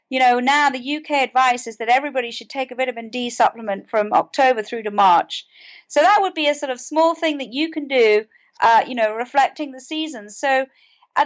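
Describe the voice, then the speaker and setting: A British woman with a high-pitched voice talks as a guest in an interview. She explains things earnestly, in a conversational style. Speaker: podcast guest, author and mental health advocate.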